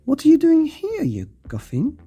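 A woman's voice: high voice, wildly swooping pitch, normal speed, mellow, soft background music, pitched above the performer's own voice. A woman voicing a small, fearless girl in a storybook, cheeky and matter-of-fact. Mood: cheeky, bold.

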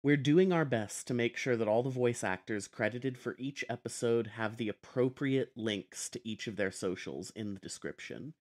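A man's voice: medium pitch, normal speed, few pauses, darkish tone. A man speaking as a podcast host, giving a scripted introduction.

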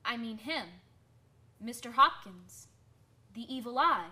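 A woman's voice: on the high side, animated, slow pace, sharp tone, full character voice. A woman playing a proud, superstitious village washerwoman in a radio drama, folksy, emphatic and scolding. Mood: fearful, grave.

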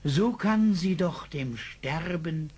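An actor playing a devout, fearless eccentric psalm singer, speaking in a solemn preacherly manner in a radio play. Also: male voice, a little high, animated, slow pace, a little mellow, slight background noise. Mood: solemn, exalted. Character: devout, fearless psalm-singing frontier eccentric, serenely pious. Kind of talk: 1970s German radio play acting.

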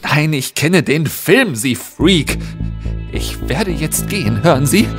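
A man's voice: fairly high pitch, very animated. Man playing an agitated, wildly swooping trapped man. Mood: defiant alarm. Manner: agitated, halting. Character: agitated, defiant man trapped in haunted wood. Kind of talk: horror audio drama acting. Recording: loud music under the voice.

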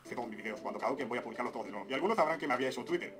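A young man with an unusually deep, announcer-like voice calmly explaining his side of a controversy into a webcam at home. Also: rapid-fire. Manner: calm explanatory storytelling. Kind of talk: confessional video monologue. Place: dim room at home.

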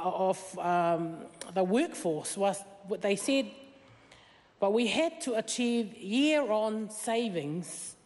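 A woman's voice: mid-range voice, darkish tone. Tongan-native woman speaking in a debating chamber. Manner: earnest, many fillers, rising emphasis on key points. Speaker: member of parliament. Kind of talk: parliamentary debate speech. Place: large wood-panelled debating chamber. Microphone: desk microphone. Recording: long chamber reverb.

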